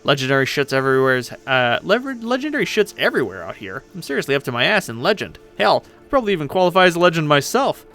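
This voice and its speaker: A man plays a cocky pirate ghost girl from an audio drama. The delivery is very animated and sarcastic, with a gravelly creak at the edges. The manner is impatient and boastful. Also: on the high side, fast, few pauses, soft background music. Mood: boastful, excited. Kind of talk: dramatic fan reading of a web story.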